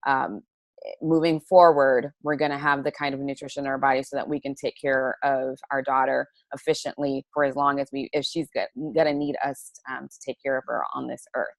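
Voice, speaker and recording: Low-voiced woman in her forties, earnest. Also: level tone, a little fast, gravelly, dry recording. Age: 40s. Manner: earnest, chatty. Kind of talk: personal testimonial. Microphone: laptop webcam on a video call.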